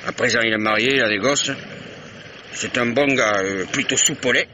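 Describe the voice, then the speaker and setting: A man's voice: medium pitch, normal speed, background noise, lightly acted. An actor playing an evasive, blustering old local man in a 1950s-style radio drama. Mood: grumbling. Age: older. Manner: blustering, dodging questions, grumbling.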